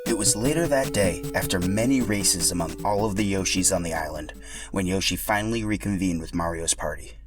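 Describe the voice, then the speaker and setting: A man narrating a scripted story for a podcast in an animated, expressive way. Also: deep voice, fairly quick, music in the background.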